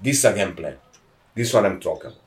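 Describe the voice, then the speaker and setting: A middle-aged Brazilian man speaking English with a heavy Portuguese accent, telling stories in an emphatic, animated way. His pitch climbs sharply when he stresses a point. He sounds a little distant from the mic. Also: racing. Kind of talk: casual interview.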